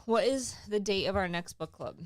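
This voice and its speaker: A woman's voice: mid-range voice, normal speed, a little mellow, dry recording, studio quality. A woman co-hosting a book club livestream, chatting casually and reading out viewer comments. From English-speaking country. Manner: chatty, reads out chat comments, frequent fillers. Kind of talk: casual chat.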